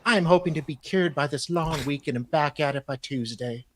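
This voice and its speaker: A man's voice: fairly high pitch, a little fast, slight background noise. A man on a live panel, giving dramatic readings of emails.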